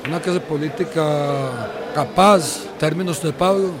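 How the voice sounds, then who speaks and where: Male interviewee, emphatic repetitions, higher register, busy noisy outdoor crowd. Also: normal speed. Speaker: interviewee, childhood friend of the subject. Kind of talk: emphatic spoken recollection.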